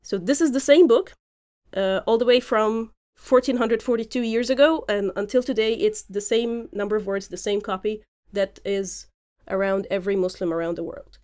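A woman podcast host giving an explanatory educational talk, pausing and repeating words as she thinks aloud. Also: medium pitch, warm tone.

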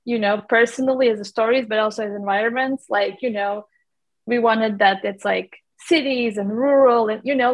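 Latvian-accented woman in her thirties, gravelly, fairly high, loose filler-heavy answers. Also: dull audio. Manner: rambling, many fillers and restarts. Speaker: documentary producer. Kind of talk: casual interview answers.

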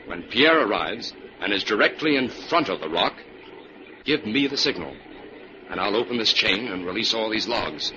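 A man's voice: on the low side, expressive, normal speed, natural voice. A man playing a confident old-radio detective. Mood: calm, assured. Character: confident, clever male detective in charge of a trap. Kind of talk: old-time radio adventure acting. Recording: muffled, hissy old radio recording.

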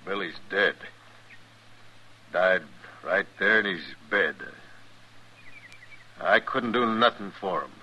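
Slow, halting frontier ranch hand. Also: male voice, low-pitched, sharp tone, slightly rough, exaggerated voice. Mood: shaken, helpless. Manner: halting, folksy, apologetic. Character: simple, dim, anxious frontier ranch hand. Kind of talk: 1950s radio western acting.

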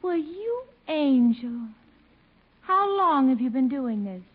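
An actress playing a coy, flirtatious American sweetheart in a 1950s radio situation comedy, with theatrical cooing. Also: female voice, high voice, big pitch swings, slow pace, heavily acted. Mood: tender, cooing. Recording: thin, phone-like 1950 radio broadcast.

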